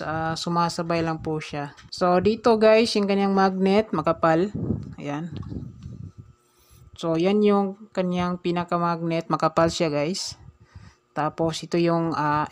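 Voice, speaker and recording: A man in a casual review vlog, explaining a speaker step by step and often calling his viewers "guys", recorded indoors at night. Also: high-pitched. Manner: chatty, explains step by step, keeps saying "guys".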